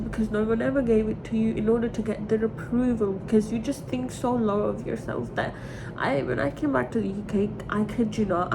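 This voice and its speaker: High-voiced young woman, noisy rumbling recording. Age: 20s. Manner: candid, emotional, repeats herself for emphasis. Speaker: vlogger. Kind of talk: rambling confessional personal talk. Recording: clearly noisy with low rumble.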